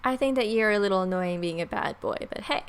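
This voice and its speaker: A woman's voice: mid-range voice, lively intonation, fast, clean tone. A young woman hosting a gaming let's play, reading a story aloud and adding wry, playful casual asides. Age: young adult. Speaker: gaming host.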